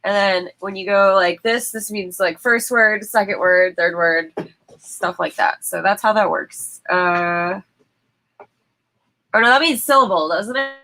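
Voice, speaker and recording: A woman's voice: medium pitch, a little slow, bright, forceful tone. A woman hosting a livestream, stuffy and sniffling from allergies, chatting casually and laughing often as she talks into her phone. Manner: chatty, laughs often, apologetic about tech trouble. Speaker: livestream host. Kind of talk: casual chat. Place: indoor studio.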